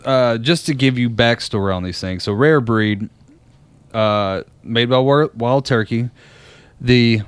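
A man's voice: mid-range voice, clear, resonant tone, dry recording. A man in his twenties co-hosting a podcast, telling a long story in a rambling way, laughing often. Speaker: podcast co-host. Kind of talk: casual chat.

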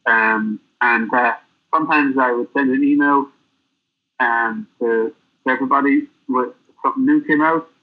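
A man being interviewed as a guest, telling stories in a rambling, halting way. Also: medium pitch, steady tone. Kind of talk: casual interview chat. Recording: tinny phone-line audio.

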